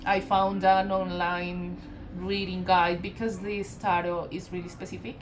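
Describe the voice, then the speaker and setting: A woman from Colombia with a Colombian Spanish accent, giving a casual channeled tarot reading in a meandering way, full of fillers, self-corrections and soft laughs. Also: on the low side, flat delivery, unhurried, background noise. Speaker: tarot reader.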